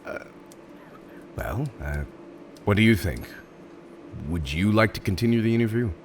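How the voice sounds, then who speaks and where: A man's voice: lowish voice, expressive, measured pace, a little hiss or hum. A man playing a theatrical, polite but exasperated supervillain interviewer in a roleplay audio drama, hesitant and mock-professional, prone to chuckling. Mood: amused, businesslike.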